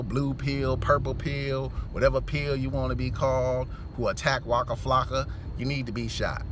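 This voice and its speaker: A middle-aged man hosting a commentary channel, ranting bluntly and preachily about his community. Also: mid-range voice. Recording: outdoor street noise.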